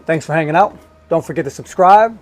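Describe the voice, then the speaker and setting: A man's voice: a little high, animated, brisk, soft background music. A man giving a casual, informal product review as an online collectibles reviewer.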